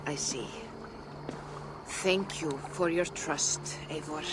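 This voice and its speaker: An actress playing a gentle, sorrowful Viking seeress in a video game, speaking in a hushed, wistful manner. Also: female voice, sits low, slow, heavy background noise. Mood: sorrowful, puzzled. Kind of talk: dramatic video game acting.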